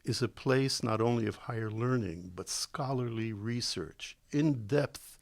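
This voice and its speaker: An older Israeli-born man, a guest professor, giving deliberate, measured answers in a studio interview. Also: mid-range voice, no echo. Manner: deliberate, slow on key words, with ums. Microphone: studio microphone.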